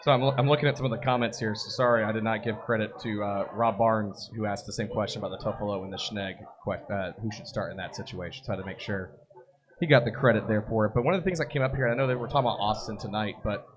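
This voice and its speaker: A man with a raspy voice hosting a live soccer show, chatting casually, joking and reading viewer comments. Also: fairly low pitch, fast talker. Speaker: podcast host. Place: busy brewery. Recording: noisy live bar recording. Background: crowd chatter.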